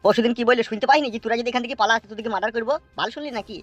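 Man as a grumbling, very fast cartoon henchman. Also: very high-pitched, no room sound. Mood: indignant, cynical. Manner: crude, grumbling. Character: weary, cynical, foul-mouthed henchman of a politician. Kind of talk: comedy cartoon dialogue. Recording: music behind the voice.

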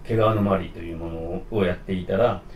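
A man's voice: sits low, steady tone, fast talker. A man telling career stories as an interviewed actor, in casual, fragmented conversation. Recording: roomy, distant mic, faint noise.

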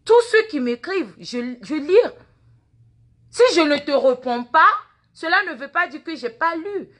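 Impassioned, high-pitched woman with Mina accent. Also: expressive, measured pace. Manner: impassioned, lecturing, repeats words and questions. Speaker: social media personality. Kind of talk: live phone video monologue. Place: large indoor office waiting room. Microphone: phone at arm's length.

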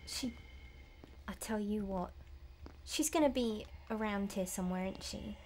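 A woman's voice: mid-range voice, a little slow, darkish tone, a little hiss or hum. A woman in her thirties giving casual, wry horror game commentary, muttering to herself as she plays. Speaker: game streamer. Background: game music.

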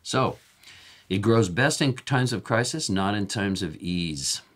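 Male pastor, 60s, American, low and a touch raspy, very animated pitch, earnest and emphatic teaching delivery. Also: slow. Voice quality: a little raspy. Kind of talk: informal sermon teaching. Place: office or study with bookshelves, indoors.

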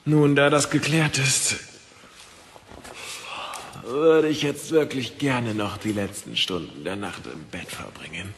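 A man's voice: mid-range voice, slow, long reverb tail, slight background noise. A man playing a jovial, boastful adventurer with a big appetite in a fantasy audio drama, playful and exaggerated. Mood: persuasive, droll.

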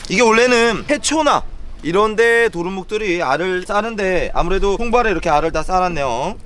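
A man's voice: sits high, animated, brisk, a bit bright, slight background noise. A man in his thirties hosting an outdoor vlog on a boat and dock, moving between explaining to viewers and casual chat. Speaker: host of a fishing and sea vlog.

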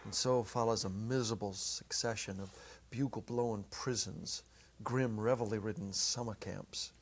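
Male narrator, middle-aged, gravelly edge, expressive literary storytelling. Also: lowish voice, normal speed. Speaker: narrator, dramatic reader. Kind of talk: dramatic story reading. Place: small indoor set. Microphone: lapel.

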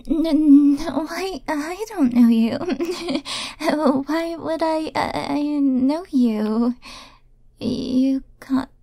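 Casting: woman to play shy, obsessive young female admirer for roleplay audio; soft mellow tone, jittery breathy delivery, very dry close sound. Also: high-pitched, fairly even intonation, slow, long pauses, dry recording, full character voice. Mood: flustered, stammering. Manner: breathy murmuring, often dropping to a whisper; nervous, hesitant, stammering when flustered. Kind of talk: intimate roleplay audio acting, first-person monologue.

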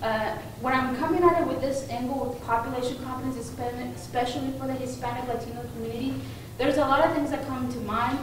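A young woman whose first language is Spanish talks casually through a presentation, pausing on fillers as she goes. Her slightly high voice reaches a distant mic in a very echoey conference room. A steady background hiss sits under her words. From Mexico. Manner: informal, with frequent "uh" and restarts. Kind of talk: conference talk. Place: conference room with projector screen. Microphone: room mic heard from a distance.

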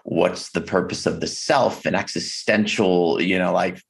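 Male podcast guest, deep husky voice, reflective and halting delivery. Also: fairly even intonation. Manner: reflective, halting, many fillers and restarts. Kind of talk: candid conversation. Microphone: video call.

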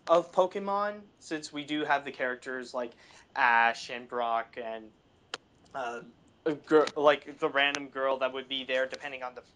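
A man in a casual film discussion, speaking haltingly and searching for his words, often saying "like". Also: medium pitch, fairly bright tone, slight background noise. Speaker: podcast panelist.

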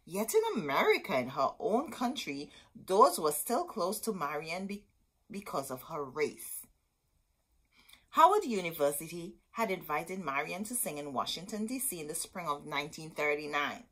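A woman's voice: deep voice, sing-song, normal speed, a bit bright, slightly rough, rough recording. A middle-aged woman reading a children's picture book aloud in a dramatic, emphatic storytelling manner. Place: indoor room with bookshelves.

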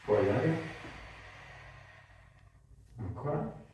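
A man's voice: medium pitch, animated, slow, mellow. A man, working as a chiropractor and giving short informal instructions while checking for pain. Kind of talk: hands-on treatment talk with short instructions. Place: small tiled treatment room indoors. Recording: echoey room, distant mic, faint noise.

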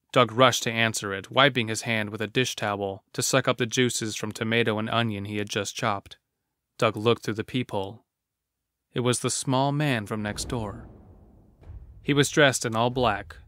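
A man's voice: medium pitch, sharp tone, no room sound. A man narrating a scripted horror story as the narrator of an audio drama.

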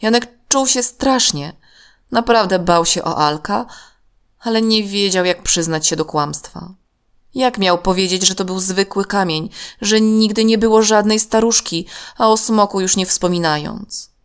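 A woman narrating a scripted children's story in a measured, expressive manner. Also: medium pitch, smooth.

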